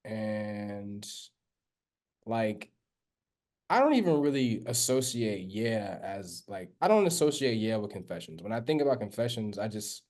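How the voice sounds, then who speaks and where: A man co-hosting a podcast in casual chat, talking in a relaxed, drawn-out way. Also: fairly low pitch. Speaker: podcast co-host.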